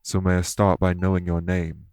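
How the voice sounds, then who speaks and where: An actor playing a courteous, remorseful immortal elven king in an audio roleplay, speaking in formal, measured phrases. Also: deep voice, monotone, background noise, close to an everyday voice. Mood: calm, earnest. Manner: formal, measured, stately wording. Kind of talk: scripted audio roleplay acting.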